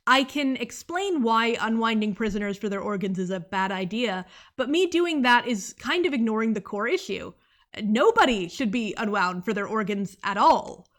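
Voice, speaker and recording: A woman's voice: on the high side, a bit bright. A young woman delivering a scripted book review video essay, earnest and analytical, drifting off into asides now and then. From United States. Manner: earnest, analytical, with sidetracks and small laughs.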